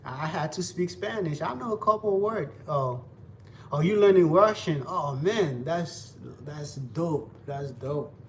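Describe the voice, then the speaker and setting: A man's voice: on the high side, expressive, a little slow. A young man with a Haitian Creole accent, chatting loosely with viewers while he cooks, repeating himself often. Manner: loose, repetitive, talks to viewers while cooking. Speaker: cooking vlogger. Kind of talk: casual live cooking chat. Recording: distant mic with faint background noise.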